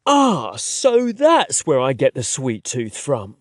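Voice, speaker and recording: A man's voice: mid-range voice, huge pitch swings, takes it slowly, no room sound, studio quality. A man voicing a cheeky young British man with a London accent, wry and friendly.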